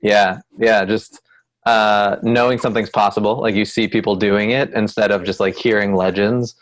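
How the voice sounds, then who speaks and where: A man's voice: fairly low pitch, steady tone, normal speed. A man in his early thirties, a juggler, chatting reflectively on a casual group video call. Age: 30s.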